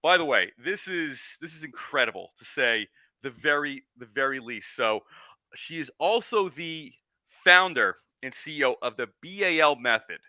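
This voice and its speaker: Bright-voiced male podcast host. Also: a little high, lively intonation, normal speed, no echo. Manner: upbeat, uses many fillers. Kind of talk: conversational interview.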